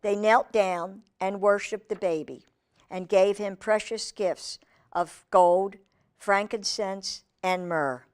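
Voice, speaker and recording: A woman reading aloud to young children in a deliberate, expressive storytime manner, speaking directly to her listeners. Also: mid-range voice.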